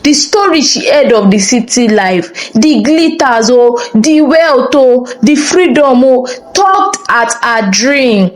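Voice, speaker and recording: A woman narrating a scripted folktale in a dramatic, expressive and deliberate storytelling style. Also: sits high.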